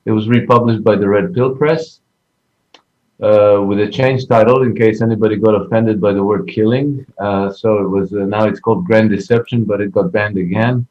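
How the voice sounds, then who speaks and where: A man's voice: lowish voice, fairly even intonation, moderate pace. A man from Croatia speaking casually as a guest author, understated and a little hesitant. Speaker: author and blogger, show guest. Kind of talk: casual conversational introduction. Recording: dull, band-limited connection.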